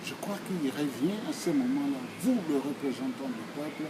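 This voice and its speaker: A man in his sixties with a Congolese accent, giving formal answers in a deliberate, emphatic, oratorical way, phrase by phrase. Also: medium pitch, lively intonation, heavy background noise. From Democratic Republic of the Congo. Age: 60s. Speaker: speaker answering questions.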